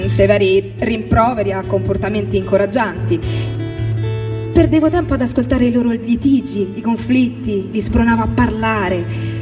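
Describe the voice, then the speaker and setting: Woman reading in long, distant reverb. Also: mid-range voice. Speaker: teacher reading her own text. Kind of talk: literary first-person reading on a radio show. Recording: distant, very reverberant, music underneath. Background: instrumental music, guitar.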